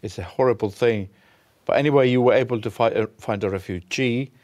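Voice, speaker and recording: Halting male talk show host. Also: medium pitch, darkish tone. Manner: hesitant, halting with fillers and restarts. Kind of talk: interviewing a guest.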